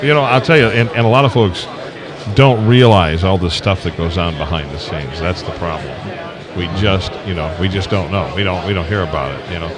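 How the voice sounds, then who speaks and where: A busy convention crowd hums behind a man with a fairly low voice and a Tennessee Southern accent. He hosts a casual radio chat in a folksy, meandering way, often feeling around for the right word. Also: fairly quick. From Tennessee, United States. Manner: folksy, rambling, searching for words. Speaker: radio show host. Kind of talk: casual live interview chat. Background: crowd chatter.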